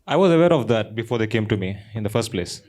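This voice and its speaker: A man, an entrepreneur on a panel, answering in a wry, self-deprecating, soft-spoken way. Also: on the low side, animated, fast. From India.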